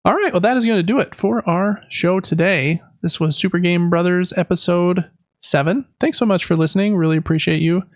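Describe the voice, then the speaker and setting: A man's voice: high voice, brisk, dry recording. A man hosting a podcast in casual chat, rambling a little and correcting himself as he goes. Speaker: podcast host. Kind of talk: casual chat about video and board games.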